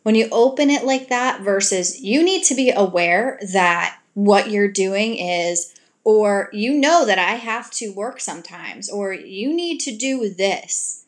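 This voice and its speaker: A woman giving relationship advice to her audience in an earnest, gentle coaching way, now and then acting out sweet example lines to a partner. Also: mid-range voice, expressive, unhurried. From grew up in a Greek family.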